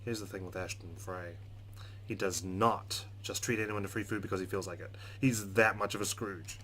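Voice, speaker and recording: A man's voice: sits low, fairly even intonation, moderate pace, fairly bright tone, a little hiss or hum. A man hosting a let's play, reading game narration expressively with casual, playful asides. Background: game music.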